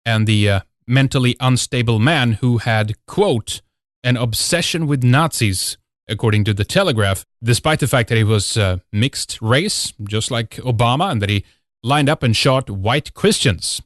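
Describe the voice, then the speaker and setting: A man's voice: medium pitch, moderate pace, no echo, clean studio sound. A man from Sweden with a Swedish accent, hosting a talk radio show. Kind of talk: talk radio monologue and guest introduction.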